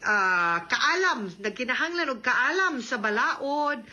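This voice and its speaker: Woman, Cebuano accent, high bright voice, chatty legal advice host, English mixed in, conversational monologue. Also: lively intonation, slow, highs cut off. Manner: chatty, peppers talk with English and tag questions. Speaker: host and lawyer giving advice.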